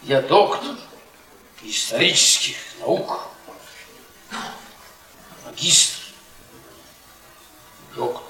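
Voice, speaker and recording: A man's voice: sits high, gravelly, bright, forceful tone, heavily acted. An actor playing a bitter, mocking middle-aged history professor in a radio play, rambling and repeating his words sardonically. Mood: sardonic rambling. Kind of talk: theatrical Soviet radio play acting. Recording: roomy, distant 1980s radio play recording.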